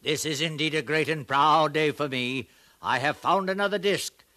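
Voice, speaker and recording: An actor playing a proud, pedantic elderly professor in a 1950s radio drama, speaking in a formal academic manner. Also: male voice, fairly high pitch, normal speed, sharp tone, no room sound. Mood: proud, scholarly. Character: elderly distinguished professor of ancient languages, proud, pedantic, easily flustered.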